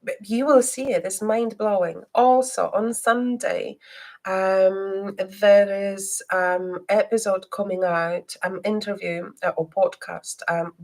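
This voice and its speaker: A woman psychic hosting a live stream who speaks non-native English with a slight Scottish twang. She hesitates often, filling pauses with ums and restarts, and turns soft and guiding when she leads a reading. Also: mid-range voice, steady tone. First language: not English.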